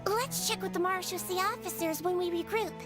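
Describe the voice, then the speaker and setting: A woman voicing a tiny, chirpy female companion creature in a game, with a very high squeaky voice and a nosy manner. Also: brisk. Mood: scheming. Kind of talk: game voice acting. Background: game music.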